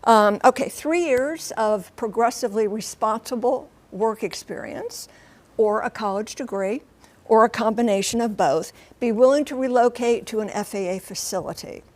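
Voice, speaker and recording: A woman with a high American voice works as a TV correspondent. She explains the story in a conversational way, leaning on key words and breaking points into short, emphatic phrases. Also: moderate pace, darkish tone. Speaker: TV transportation correspondent and pilot. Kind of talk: live TV news report, conversational.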